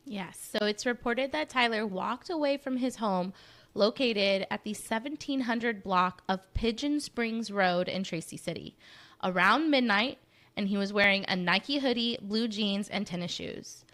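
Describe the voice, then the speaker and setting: A woman's voice: mid-range voice, measured pace, a bit bright. A woman co-hosting a live broadcast segment on missing persons, agreeable and reading reports steadily. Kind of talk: casual live show chat.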